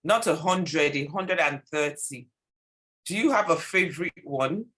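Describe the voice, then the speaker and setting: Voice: mid-range voice, unhurried, fairly bright tone. A podcast host interviewing a guest casually, mostly chiming in with short encouraging replies.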